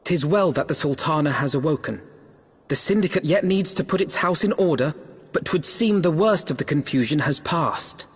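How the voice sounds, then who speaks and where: An actor playing an earnest, dignified leader of an order in a fantasy video game, speaking formally and with measured care. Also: male voice, on the high side, expressive, breathy edge. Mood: resolved. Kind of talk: fantasy video game acting, archaic speech. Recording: long hall reverb, faint music behind.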